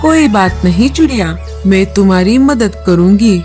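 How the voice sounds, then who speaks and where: A woman's voice: medium pitch, animated, moderate pace, mellow, faint music behind, lightly acted. A woman playing a kind, caring old grandmother who explains things in a children's cartoon. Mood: kindly. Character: kind old grandmother living by a forest river.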